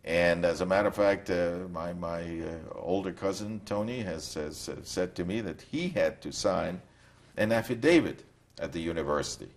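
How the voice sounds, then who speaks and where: Older guy, really low voice, thoughtful, stops and starts a lot while telling his story. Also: animated, a little hiss or hum. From Poland. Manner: reflective, halting storytelling. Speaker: interviewee. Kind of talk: recorded testimony.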